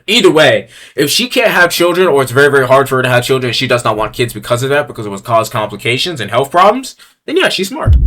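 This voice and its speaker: A young man giving casual commentary as he ranks items on a tier list. He talks in a crude, blunt, slangy way, reading each item aloud and then riffing on it. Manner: swings from low mutters to loud yelling.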